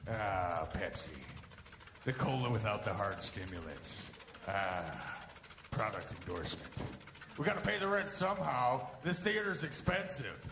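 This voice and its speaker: A man's voice: a little high, creaky. A man playing a scheming, cowardly young cabdriver who grumbles and exclaims melodramatically in a 1930s-style radio comedy. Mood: grandiose. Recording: very noisy, echoey amateur recording.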